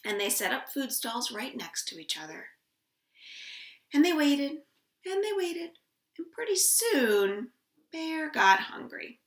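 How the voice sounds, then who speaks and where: A woman telling stories to young children in an expressive, playful way, with drawn-out emphasis, recorded indoors at home. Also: sits high. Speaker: children's storyteller at a library storytime. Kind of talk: animated storytelling for young children. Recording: echoey room.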